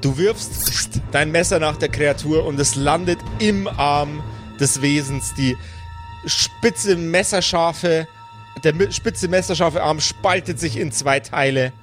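A man working as game master, narrating an improvised tabletop adventure in deliberate, descriptive phrases. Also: fairly high pitch. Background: music under the voice.

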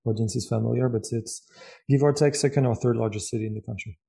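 Male interview guest, thirties, French accent, dark creaky voice, casual and dryly witty. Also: medium pitch, steady tone, quick pace. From Lyon, France. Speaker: podcast guest, tech entrepreneur.

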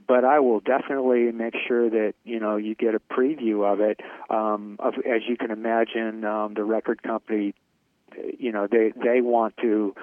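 A man's voice: medium pitch, no room sound, phone-quality sound. A middle-aged man, a metal singer, answering interview questions in a hesitant way, with many fillers and restarts. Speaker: metal band vocalist. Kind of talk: interview, casual chat.

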